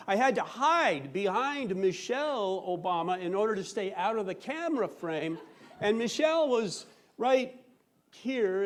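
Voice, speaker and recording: A man with a notably high voice delivers a humorous storytelling speech on stage. He boasts with a straight, mock-serious air and leans on theatrical pauses for effect. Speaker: speech contest competitor telling a comic tall tale. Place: live on stage before a laughing audience.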